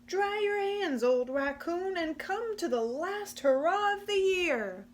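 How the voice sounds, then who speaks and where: A woman voicing an eager, hopeful male possum who calls out invitations in a high, excited voice. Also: slow pace, slight background noise, exaggerated voice. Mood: calling out. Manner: excited calling, pleading.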